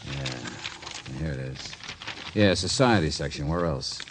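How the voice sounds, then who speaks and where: An actor playing an easygoing, wry private detective in an old-time radio drama, casual in delivery. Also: male voice, very deep voice, animated, slow, exaggerated voice. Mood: wry, curious. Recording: noisy vintage radio recording.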